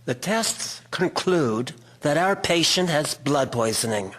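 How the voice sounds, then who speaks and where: An actor playing a formal, earnest Victorian doctor in an audio drama. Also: male voice, on the high side, animated, a bit bright, exaggerated voice. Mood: concerned. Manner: formal, measured wording. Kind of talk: scripted audio drama acting.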